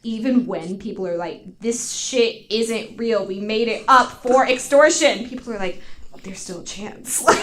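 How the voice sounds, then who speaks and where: A woman with an American accent co-hosting a podcast, telling stories casually in an animated, giggly way. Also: fairly high pitch, a little slow. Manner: animated, giggly, often swearing. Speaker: podcast guest co-host. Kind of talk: casual storytelling chat.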